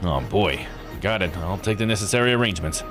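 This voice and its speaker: A gruff police detective from a visual novel, acted out by a man, speaks low and casually. He grumbles like someone tired of strange cases, over clearly audible music. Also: expressive, brisk. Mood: weary. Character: gruff, world-weary male police detective. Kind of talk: voicing visual novel dialogue.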